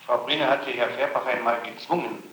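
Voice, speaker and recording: Fast-talking male interrogator, echoey tape. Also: medium pitch, fairly even intonation, a bit bright, a little hiss or hum. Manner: accusatory, pressing.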